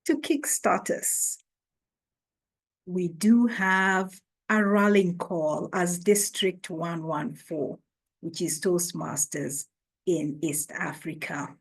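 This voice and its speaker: Woman running an online orientation, slow and deliberate, video call mic. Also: lowish voice. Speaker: orientation host.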